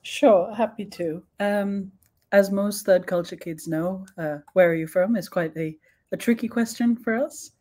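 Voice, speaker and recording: A young woman speaking as a guest expert in an online talk, thoughtful, pausing often with ums and restarting her sentences. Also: medium pitch, mellow. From born in Sweden, raised in several countries.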